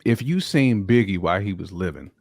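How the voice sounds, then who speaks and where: A man's voice: low voice, expressive, a little fast, vocal fry, darkish tone, dry recording, clean studio sound. A man co-hosting a casual hip hop discussion, speaking emphatically and repeating phrases to build his points. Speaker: podcast co-host.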